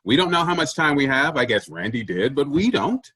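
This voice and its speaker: A man's voice: medium pitch, big pitch swings, a little fast, sharp tone, breathy edge, full character voice. A man in a staged comedy reading playing a pushy, self-important office boss who preaches efficiency emphatically. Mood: insistent, boastful.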